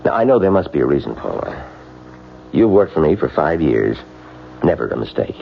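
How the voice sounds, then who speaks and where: Very deep, gravelly boss. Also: male voice, sing-song, normal speed, heavily acted. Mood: grave concern. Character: stern but understanding male office boss. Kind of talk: 1970s radio drama acting. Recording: dull old radio broadcast with hum.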